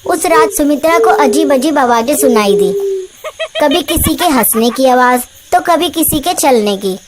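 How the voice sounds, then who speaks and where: Woman narrator for a scripted ghost story, notably high voice, slight noise behind. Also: expressive, a little slow.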